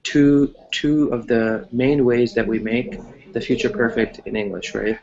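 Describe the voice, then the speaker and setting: A man's voice: medium pitch, unhurried, creaky, darkish tone, dull audio. An American man teaching an online English class, explaining conversationally and encouragingly, often checking in as he goes. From United States.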